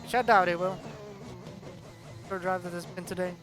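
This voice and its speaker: A high-voiced man from Norway with a Norwegian accent calls a sim race in English, his second language, chatty and quick to laugh. Music plays clearly behind him throughout. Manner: chatty, excitable, laughs often. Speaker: sim racing commentator. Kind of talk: live race commentary.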